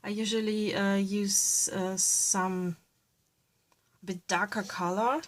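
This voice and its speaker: A woman's voice: mid-range voice, little pitch movement, slow, no echo. A woman from Russia who grew up in Bavaria, speaking English with a Russian-German accent, giving a casual drawing tutorial with many hesitant fillers and small laughs. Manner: drops to a soft near-whisper while coloring. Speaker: art tutorial creator.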